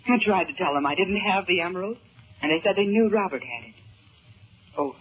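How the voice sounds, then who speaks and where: Tinny 1930s radio, anxious husky mother. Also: lowish voice, animated, fairly quick. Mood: anxious recounting. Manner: earnest, dramatic, anxious. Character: frightened, gentle middle-aged mother held captive in the jungle. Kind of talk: 1930s radio serial acting.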